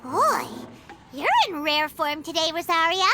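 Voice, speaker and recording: A woman voicing a small, childlike, excitable girl companion sprite in a video game, cheerful and naive with a squeaky delivery. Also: a little slow, heavily acted. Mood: teasing. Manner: refers to self in third person, exclamatory. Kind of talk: English video game voice acting.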